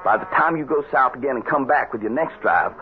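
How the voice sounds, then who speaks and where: An actor playing an earnest, respectful young cowhand in a radio western, with a folksy, hesitant manner. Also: male voice, mid-range voice, a little fast, phone-quality sound, close to an everyday voice. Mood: earnest, hopeful. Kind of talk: old-time radio western acting. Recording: noisy, band-limited old radio recording.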